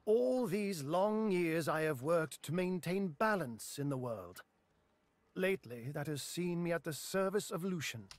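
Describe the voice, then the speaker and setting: An actor playing an ancient, enigmatic wizard in a fantasy video game, speaking in a measured, theatrical and wryly weary way. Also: male voice, a little high, animated, no echo. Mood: reflective, grave.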